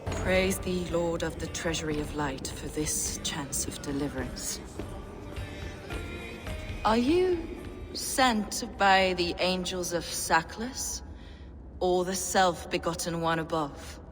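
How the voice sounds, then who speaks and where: An actress playing a serene, slyly curious heretic mystic in a video game, with reverent, archaic, measured speech. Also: female voice, fairly low pitch, animated, faint music behind. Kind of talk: video game cutscene acting.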